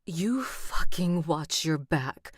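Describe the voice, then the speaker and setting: A woman's voice: low voice, unhurried, darkish tone, no room sound, voice pushed up. Woman reading as a seething, swearing young heroine. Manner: seething, swearing, near tears. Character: furious young woman heroine, held captive. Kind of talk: rehearsing an audiobook reading on a livestream.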